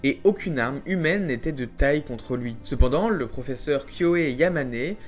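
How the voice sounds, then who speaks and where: A man's voice: medium pitch, expressive, normal speed. A man narrating a scripted video essay in an explanatory way, slipping into conversational, informal asides. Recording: voice-over over background music. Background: music.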